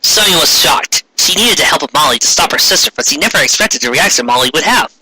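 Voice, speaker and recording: Very bright, stumbling fan fiction narrator. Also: mid-range voice, lively intonation, breathy edge, no echo, rough recording. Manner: halting, stumbling over words. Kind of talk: dramatic comic reading of amateur fiction.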